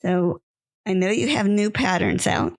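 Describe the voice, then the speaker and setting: Gravelly-voiced woman hosting a podcast. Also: mid-range voice, a little slow. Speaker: podcast host. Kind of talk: podcast interview.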